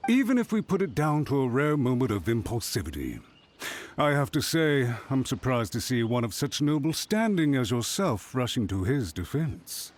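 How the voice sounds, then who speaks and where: An actor playing a haughty, scheming government official in a video game, with a pompous, theatrical and emphatic delivery. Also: male voice, medium pitch, big pitch swings, moderate pace, darkish tone, a little hiss or hum, natural voice. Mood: indignant. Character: haughty, scheming male government official, indignantly self-righteous.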